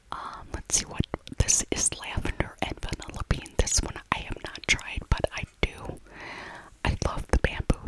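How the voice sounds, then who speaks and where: Woman, breathy whisper, casual ASMR voiceover, soft repeated phrases, chatty narration. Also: low-quality recording. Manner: repeats phrases slowly and softly. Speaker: ASMR video creator narrating a shopping trip.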